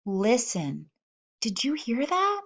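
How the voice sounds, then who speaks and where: A woman reading a children's picture book aloud in an expressive manner, voicing animal characters in the first person. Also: a little high, slow pace. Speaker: storybook reader.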